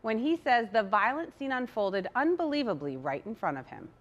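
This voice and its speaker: A woman's voice: a little high, big pitch swings, normal speed, dull audio. An American woman delivering a scripted TV news report.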